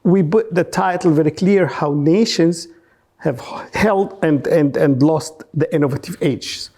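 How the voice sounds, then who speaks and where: A man from Egypt telling his story as an interview guest in non-native English, haltingly, repeating words for emphasis. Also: a little high, darkish tone. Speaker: podcast guest, researcher and businessman.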